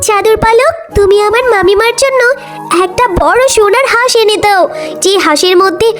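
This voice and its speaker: Woman as timid orphan girl, pleading, tiny high-pitched cartoon voice, loud music underneath. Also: moderate pace. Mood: hopeful, pleading. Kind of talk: children's cartoon voice acting.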